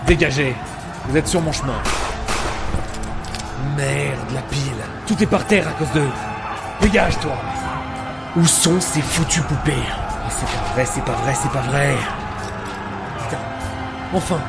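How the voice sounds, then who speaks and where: A man's voice: fairly high pitch, a little slow, long pauses. A man playing an impatient, sardonic loner adventurer in an audio adventure drama, grumbling his lines. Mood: frantic, angry. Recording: loud music behind the voice.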